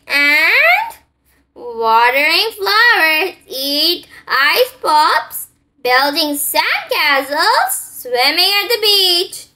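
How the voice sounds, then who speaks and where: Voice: very high-pitched, noisy background. A child host reading a picture book aloud for a children's channel.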